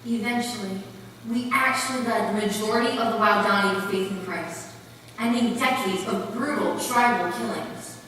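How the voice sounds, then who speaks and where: A woman's voice: medium pitch, normal speed, fairly bright tone, close to an everyday voice. A woman playing a solemn missionary storyteller in a dramatic stage recital. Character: solemn missionary woman recounting faith and forgiveness. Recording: very echoey hall from far off, faint noise.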